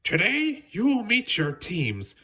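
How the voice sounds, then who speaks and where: A man voicing a high-pitched, formal club chairwoman. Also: huge pitch swings, takes it slowly, bright, forceful tone, breathy edge, heavily acted. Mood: formal, announcing.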